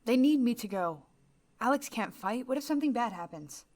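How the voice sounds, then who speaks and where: A woman's voice: on the high side, lively intonation, fairly quick, a little mellow. A woman playing a stubborn, proud captain in an audio drama, terse and insistent. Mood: urgent. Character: stubborn, proud female captain recovering from surgery.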